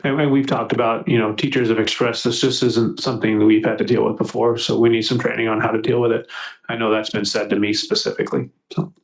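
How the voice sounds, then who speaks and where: A staff member answers briefly and agreeably. His gravelly voice moves quickly on a flat line. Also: on the low side, rough recording.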